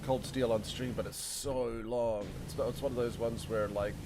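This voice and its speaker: A man's voice: mid-range voice, steady tone, normal speed, noisy background. A man streaming a game, chatting casually and rambling to his viewers with frequent laughs. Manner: chatty, rambling, laughs often, talks to chat. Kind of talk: casual gameplay commentary. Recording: game music under the voice. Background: game music.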